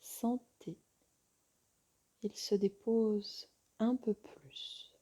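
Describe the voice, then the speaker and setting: A woman yoga teacher guiding a relaxation meditation in a soft, soothing, breathy voice with long pauses. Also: medium pitch, steady tone.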